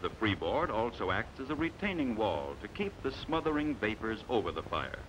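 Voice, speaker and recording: An American man narrating a scripted 1950s training film in a measured, authoritative, emphatic manner, on a vintage soundtrack. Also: on the low side, sing-song, noisy background.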